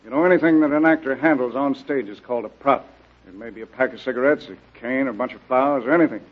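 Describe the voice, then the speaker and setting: A man's voice: medium pitch, fast, natural voice. A man playing a helpful, deferential theater property man in a radio drama, eager to explain. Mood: explanatory. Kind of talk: radio detective drama acting. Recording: dull, lo-fi radio drama recording.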